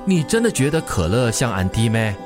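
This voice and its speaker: A man's voice: medium pitch, big pitch swings, brisk, over music, close to an everyday voice. An actor playing a nosy, friendly older man with a Singaporean accent, chatting and teasing in a radio drama. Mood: teasing, probing. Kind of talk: radio drama acting, conversational.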